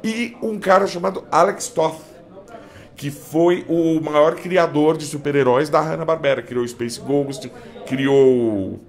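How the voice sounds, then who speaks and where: A slightly high-voiced Brazilian man seated at a table of toys indoors, reeling off collector trivia. He talks in a relaxed panel-chat way into a handheld mic. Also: unhurried. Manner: explains facts like a trivia expert. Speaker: toy collector guest. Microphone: handheld mic passed around.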